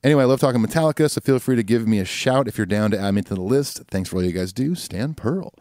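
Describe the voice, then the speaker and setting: A man co-hosting a casual podcast, easygoing and quick to agree, reading out listener emails. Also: mid-range voice, animated, a little mellow, no room sound. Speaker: podcast co-host.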